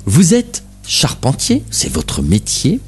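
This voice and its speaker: A man's voice: on the high side, huge pitch swings, takes it slowly, faint music behind. A man hosting a literary radio programme, interviewing a guest with probing questions and some fillers. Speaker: radio host.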